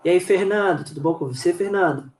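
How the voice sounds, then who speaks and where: In a roomy, echoing space, a Brazilian man hosts a podcast. His voice is slightly high, and he talks in a casual, chatty way, often repeating his phrases. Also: quick pace, darkish tone. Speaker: podcast host.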